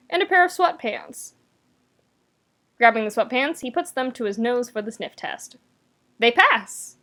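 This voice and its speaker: A woman reading a story aloud as narrator, with expressive, wry delivery and dramatic emphasis. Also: on the high side. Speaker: podfic narrator. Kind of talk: expressive scripted fiction narration.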